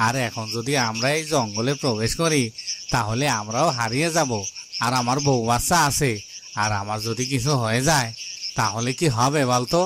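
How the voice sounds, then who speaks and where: A man's voice: medium pitch, close to an everyday voice. Wary grumbling guard acted by a man, noisy background. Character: wary, self-protecting royal guard, grumbling. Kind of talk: Bengali fairy-tale cartoon dubbing. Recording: noisy, cricket and insect sounds behind.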